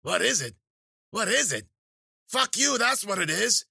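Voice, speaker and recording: A man's voice: high-pitched, big pitch swings, a little slow, creaky, sharp tone, slightly rough, dry recording, clean studio sound, pitched above the performer's own voice, exaggerated voice. A man voicing a spiteful old woman witch, scolding and cursing.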